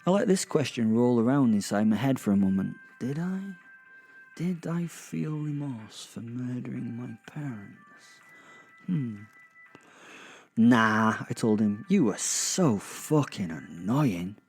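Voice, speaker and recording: A remorseless werewolf, played by a man, narrates a dark audio drama in the first person. The voice is dark and mellow, wry and cocky, musing deliberately over faint music. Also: medium pitch, lively intonation, slightly rough, full character voice. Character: remorseless male werewolf killer, wry, cocky, centuries old. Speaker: narrator and protagonist.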